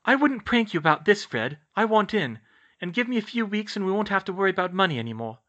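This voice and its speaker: A man's voice: high-pitched, very animated, fast, pushed and bright, muffled recording, voice pushed up, heavily acted. A man voicing a fierce, determined and protective teenage witch girl in a story, speaking in an urgent, emphatic way. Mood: earnest. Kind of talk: audiobook character voice.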